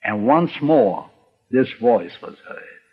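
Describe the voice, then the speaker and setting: An older man, a radio critic, reminiscing as documentary narrator with animated storytelling. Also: medium pitch, slow, husky. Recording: dull archival radio documentary recording.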